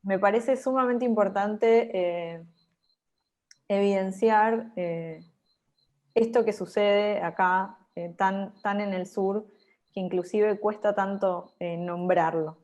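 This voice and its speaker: A woman hosting a virtual conference, earnest and pausing often with fillers, over a video call. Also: medium pitch, a little mellow. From Argentina. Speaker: conference host.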